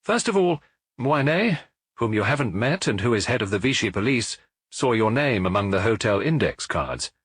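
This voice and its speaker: Male narrator as jovial police superintendent, middle-aged, talkative, husky, audiobook detective story. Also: mid-range voice, big pitch swings, normal speed, a bit bright, no echo, studio quality. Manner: hearty, talkative, reporting.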